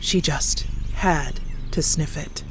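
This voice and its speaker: A woman's voice: low-pitched, slow, slight background noise. A woman narrating a scripted horror story as a storyteller. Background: clear background music.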